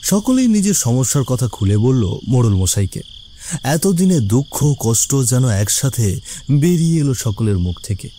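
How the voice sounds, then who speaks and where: A male storyteller delivers scripted, dramatic narration. His voice is mid-pitched and mellow. Faint noise with crickets lies underneath. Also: big pitch swings, a little slow.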